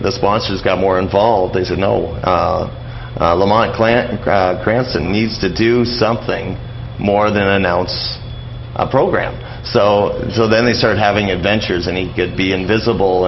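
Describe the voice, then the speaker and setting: A man giving casual, rambling answers as an interview guest, halting and repeating his words. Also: low-pitched. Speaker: radio show host as interview guest. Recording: dull lo-fi audio, clear background noise.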